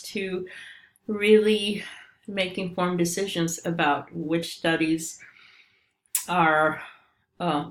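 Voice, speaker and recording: Middle-aged romanian-accented lady, a professor talking through her course intro, lots of ums, lowish voice. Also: a bit bright. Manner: informal, many ums and uhs, explanatory. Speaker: statistics professor. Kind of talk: recorded course introduction lecture.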